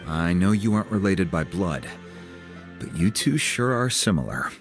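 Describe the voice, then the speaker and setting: A man playing an easygoing, gruffly kind fatherly mentor, measured and avuncular, in a video game. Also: low-pitched, very animated. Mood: wry, caring. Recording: music under the voice.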